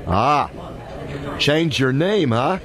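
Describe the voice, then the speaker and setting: An actor playing a cocky, clueless, wisecracking police detective in a comic old-time radio detective drama. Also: male voice, medium pitch, expressive, drawn-out words, fairly bright tone. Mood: breezy, needling. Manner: cocky, slangy, oblivious chatter. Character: brash, clueless, wisecracking male hard-boiled police detective.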